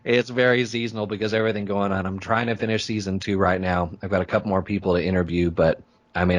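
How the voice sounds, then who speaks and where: A man who hosts podcasts, chatting informally and telling anecdotes in a casual interview. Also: low voice. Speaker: podcast host being interviewed.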